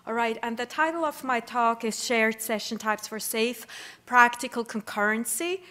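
A middle-aged woman speaks at a podium microphone in a wood-panelled lecture hall. Her voice sits a little high, and she explains in a friendly, conversational way, often restarting her phrases as she goes. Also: moderate pace, sharp tone. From Switzerland. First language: Swiss German. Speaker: keynote speaker. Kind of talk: conference lecture.

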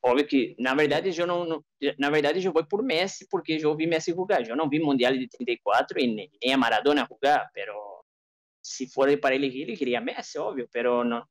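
Young Brazilian male, native Portuguese speaker, rapid argumentative delivery, very dry recording. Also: medium pitch, expressive, no room sound. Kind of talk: informal football debate. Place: indoors, home streaming setup on a group video call.

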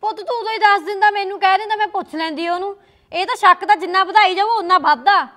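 A woman's voice: extremely high pitch, quick pace, sharp tone. A woman playing a fretful mother. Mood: worried. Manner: scolding then coaxing, motherly. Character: worried middle-aged mother caught between son and daughter-in-law.

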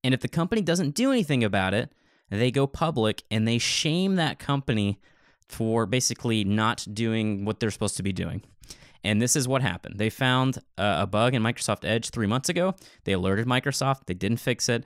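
A man's voice: medium pitch, lively intonation, normal speed, no room sound, studio quality. A man co-hosting a podcast in casual tech discussion, talking in a rambling, opinionated way. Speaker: podcast co-host and web developer.

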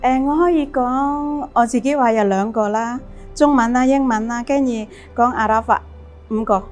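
A woman's voice: a little high, normal speed, a little mellow, faint music behind. Woman listing countries with hesitant fillers. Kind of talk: casual interview. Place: indoor corridor by planters.

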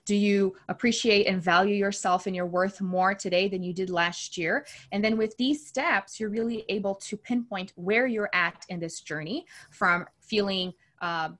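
Enthusiastic woman from Brazil, mid-pitched voice. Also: normal speed, hardly any pauses, a bit bright. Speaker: coach and podcast host being interviewed. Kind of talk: conversational interview answers.

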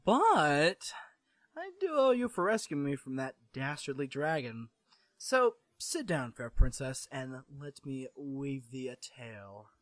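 A sarcastic young man with French blood, mock-grand and guarded, cursing in French when annoyed, as a man reads him. His voice leaps up and down in pitch. It sounds dry and close to the mic. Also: very animated, takes it slowly, a bit bright, dry recording. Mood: wry.

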